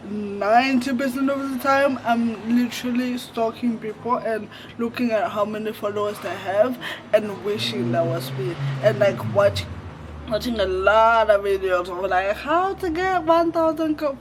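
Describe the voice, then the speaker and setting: This is a twenty-year-old man from Zimbabwe talking to his vlog audience in a high, light voice. He rambles candidly, leaning on fillers, and takes his time over each thought. Faint crickets and insects chirp in the background. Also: unhurried, slight background noise, poor audio. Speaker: vlogger. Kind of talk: casual reflective chat to camera.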